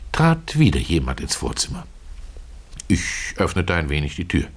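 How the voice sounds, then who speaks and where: A man reading a first-person literary story as narrator, in a measured, expressive storytelling manner. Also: sits low.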